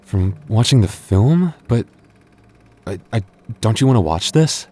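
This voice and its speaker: A man playing a devoted, earnest young boyfriend in an intimate audio roleplay, speaking haltingly with stammers. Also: fairly low pitch, animated, dark tone. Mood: pleading, agitated. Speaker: voice actor.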